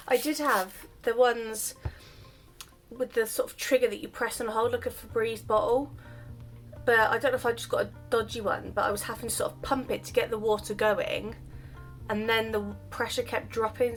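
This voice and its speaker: A woman chatting casually while she demonstrates styling her wavy hair, her voice just recovered from illness. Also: on the high side. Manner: chatty, self-deprecating, explains while doing. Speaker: hair vlogger. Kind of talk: casual hair tutorial chat.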